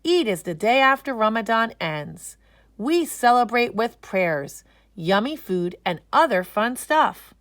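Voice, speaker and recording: Expressive woman reading a children's storybook. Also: on the high side, unhurried, no echo, studio quality. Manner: expressive, explanatory for young listeners. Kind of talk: scripted children's storybook narration.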